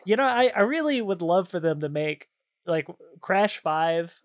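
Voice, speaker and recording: A man's voice: high-pitched, expressive, unhurried. A man hosting a podcast, chatting casually about video games in an informal, slangy, easily amused way. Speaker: podcast host.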